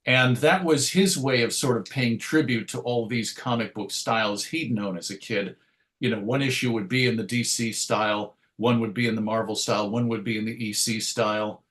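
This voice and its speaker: A man's voice: mid-range voice, fairly even intonation, normal speed, fairly bright tone. A middle-aged man reminiscing casually as an interview guest, hesitant and rambling, often interrupting himself to restart a thought. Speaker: interview guest, writer and radio show host.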